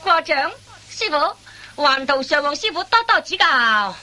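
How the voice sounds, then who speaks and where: A woman's voice: sits high, very animated, a little slow, bright, forceful tone, heavily acted, low-quality recording. A woman playing an eager, deferential young apprentice thief in a comic radio play, answering obediently. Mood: respectful, eager. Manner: obedient, respectful, short replies.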